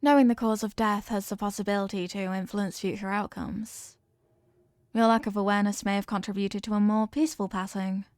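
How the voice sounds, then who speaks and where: A woman's voice: medium pitch, fairly even intonation, a little fast, darkish tone, dry recording, clean studio sound, lightly acted. A woman voicing an obsessively loving evil queen in a roleplay audio drama, speaking formally and measuredly with imperious, veiled menace. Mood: solemn devotion. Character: possessive yandere evil queen, regal, obsessively in love. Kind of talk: scripted roleplay monologue, ASMR audio drama.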